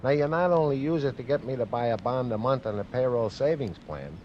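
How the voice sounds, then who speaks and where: A man plays a put-upon, lazy husband from a 1950s radio comedy. He grumbles and protests in a dry, whiny way at a middling pitch. The old broadcast sounds muffled, with faint noise. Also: animated, fairly quick, natural voice. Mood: sarcastic, grumbling. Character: grumbling lazy suburban husband, sleepy and sarcastic.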